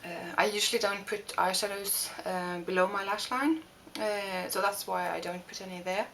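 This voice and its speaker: A young woman from Norway with a Norwegian accent, talking through a makeup tutorial with many pauses and restarts. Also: mid-range voice, fairly even intonation, gravelly, noisy background. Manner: hesitant, many fillers and restarts. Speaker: beauty vlogger. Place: home room.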